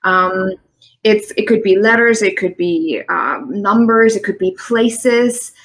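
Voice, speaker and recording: A woman with a German accent speaks at a medium, everyday pitch. She talks in an earnest, reflective way, often pausing on fillers and laughing lightly, as a guest on an online live show. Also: rough recording. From East Germany. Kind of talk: conversational interview. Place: home in the Midwest. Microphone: online live stream.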